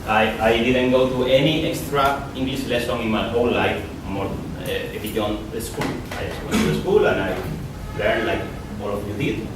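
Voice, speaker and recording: A man's voice: fairly low pitch, steady tone, normal speed, hardly any pauses. A man in his thirties with a Spanish accent, giving a casual conference talk, often repeating and restarting his words. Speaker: conference speaker. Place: lecture hall. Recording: echoey hall from far off, noisy.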